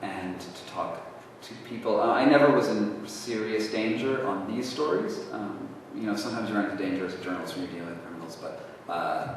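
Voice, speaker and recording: Male speaker, low voice, halting Q&A answers, distant mic in reverberant hall. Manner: halting, many restarts, casual. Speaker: guest speaker answering audience questions. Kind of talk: lecture Q&A. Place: university lecture hall. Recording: echoey hall from far off, faint noise.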